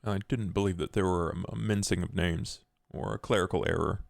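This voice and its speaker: A man playing a shrewd male vampire money man in a tabletop roleplay, terse and measured, with a dry manner. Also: low-pitched, fairly even intonation, creaky, no echo. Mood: guarded, dry.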